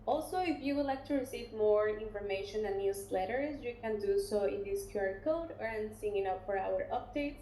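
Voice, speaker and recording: A woman working as an international recruitment officer, presenting a webinar and taking questions in a relaxed, chatty way with many fillers and restarts. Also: medium pitch, moderate pace, hardly any pauses. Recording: roomy webinar audio, faint noise.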